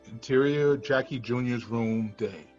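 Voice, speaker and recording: Male narrator, mid pitch, reads stage directions, dull recording with faint music. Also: measured pace, a little mellow. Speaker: screenplay narrator. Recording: dull lo-fi sound, music behind.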